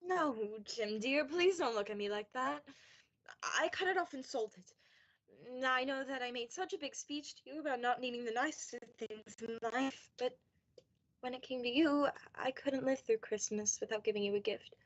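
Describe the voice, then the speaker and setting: A woman's voice: sits high, fairly bright tone. A young woman playing a devoted, anxious young wife in a radio play, tender and pleading. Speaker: student actor in a high school radio play. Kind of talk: stage-like radio play acting. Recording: dull lo-fi recording.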